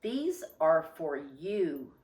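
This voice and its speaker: Storybook little girl, played by a woman: earnest, easily upset, slow-paced, recorded with noticeable room echo. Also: low voice, sing-song, a little mellow, exaggerated voice. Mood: sheepish. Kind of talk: children's storybook reading.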